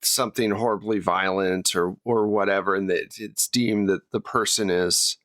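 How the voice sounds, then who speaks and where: A man's voice: deep voice, steady tone, normal speed, fairly bright tone, dry recording, studio quality. A man with an American accent hosting a podcast in a casual, conversational way. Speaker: host and game master of an actual-play podcast.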